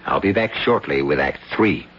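A man hosting and narrating a radio mystery show in a measured, wry storytelling manner. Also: very deep voice, expressive. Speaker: host and narrator. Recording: dull lo-fi 1970s radio broadcast with music bed.

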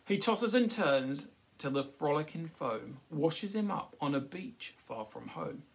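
A man reads a children's picture book aloud at home. His voice is lively and varied, with a gravelly creak. He often breaks off to explain things simply and check in with his listeners. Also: fairly high pitch, a little slow. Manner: frequently checks with "okay", explanatory. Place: small furnished room at home. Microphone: device camera at about arm's length.

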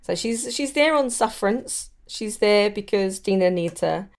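A woman's voice: mid-range voice, lively intonation, measured pace. A chatty woman, hosting a casual, conversational discussion of books. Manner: chatty, giggly. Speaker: podcast host. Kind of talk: casual book chat.

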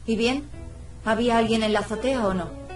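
An actress playing a sharp-tongued, skeptical young woman in a video game, with a clipped, sarcastic manner. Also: fairly high pitch, normal speed, far from the mic, natural voice. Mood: sardonic. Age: young adult. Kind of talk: video game dialogue acting. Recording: echoey room, music behind.

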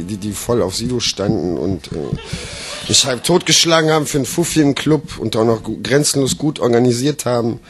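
A man's voice: mid-range voice, slightly rough. A man performing comic slam poetry live on stage into a microphone, with a theatrical, emphatic delivery.

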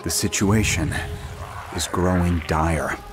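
An actor playing a grave, weary monk in a video game, speaking solemnly. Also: male voice, deep voice, takes it slowly. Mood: grave, pleading. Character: grave male monk tending a plague-stricken monastery. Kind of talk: video game character acting. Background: music.

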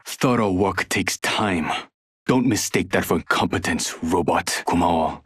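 An actor playing a guarded, methodical, coolly defiant young hacker in a video game, with a husky voice. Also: male voice, sits low, unhurried, fairly bright tone, dry recording, clean studio sound, full character voice. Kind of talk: video game character voice lines.

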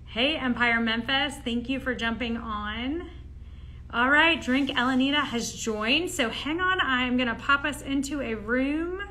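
A young woman hosting a live social media session, chatting casually and warmly greeting viewers by name while filling pauses with "um". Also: sits high. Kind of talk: casual live-stream chat.

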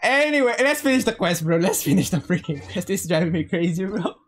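A woman livestreamer chatting casually over a game in an excitable, joking way, often repeating phrases. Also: fairly low pitch, fast, slight background noise. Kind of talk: casual game stream chat. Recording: game music behind.

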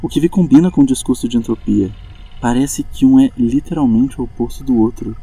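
A man acting a fast, muffled-toned eager techie. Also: mid-range voice, dark tone, slightly rough. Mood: excited. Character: eager, awestruck young tech patroller. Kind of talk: audio drama acting.

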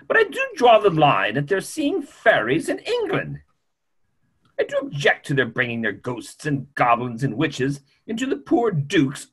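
Guy acting as grumpy older doctor in a play reading, dry and fussy, highish husky voice. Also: fairly bright tone, heavily acted. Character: grumpy, opinionated older male family doctor. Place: small home room on a video call.

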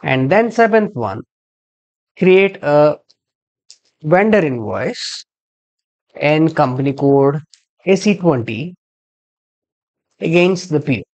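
A man giving a screen-share software tutorial as a trainer, explaining step by step and spelling out codes. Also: a little high.

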